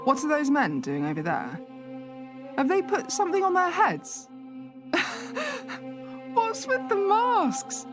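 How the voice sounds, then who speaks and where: A woman's voice: very high voice, big pitch swings, slow pace, pauses often, heavily acted. A woman playing a skeptical, sardonic assistant hotel manager from London in a radio play, sometimes hesitant when flustered. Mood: uneasy, faltering. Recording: music behind the voice.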